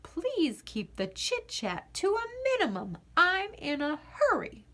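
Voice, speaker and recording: A woman's voice: extremely high pitch, huge pitch swings, slow pace, fairly bright tone, pitched above the performer's own voice, full character voice. A woman voicing an eager, bossy, adventurous little girl from a storybook, proclaiming her words emphatically.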